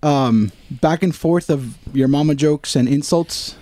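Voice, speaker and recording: A man's voice: fairly high pitch, a little slow, warm tone. A man talking casually in a podcast conversation.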